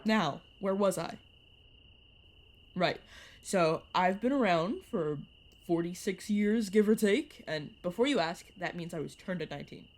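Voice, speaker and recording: A woman's voice: fairly low pitch, expressive, unhurried, a little mellow, a little hiss or hum. A woman voice actor playing a playful, sarcastic young vampire mentor who chats and teases in an audio roleplay. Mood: casual, wry. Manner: teasing, sarcastic, chatty. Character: playful, teasing vampire mentor, turned at nineteen. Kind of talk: narrative audio roleplay, one-sided conversation.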